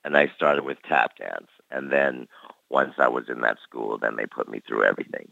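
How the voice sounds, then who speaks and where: A man's voice: very deep voice, moderate pace, creaky, sharp tone, no room sound. A man in his forties who grew up in Canada, reflecting easily on his dance career as an interview guest. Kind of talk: casual interview talk. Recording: dry, dull lo-fi recording.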